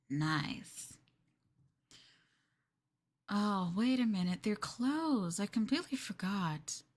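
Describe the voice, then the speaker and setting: Girl doing a clingy obsessive yandere gf for asmr, cooing sweetly, real slow talking. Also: mid-range voice, slight background noise. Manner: cooing, coaxing, with murmurs and giggles. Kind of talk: ASMR girlfriend roleplay.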